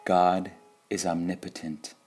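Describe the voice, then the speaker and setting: Slow, low-pitched man reading spiritual sayings. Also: completely flat delivery, darkish tone. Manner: measured, deliberate, with pauses between short phrases. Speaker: reader of spiritual quotations.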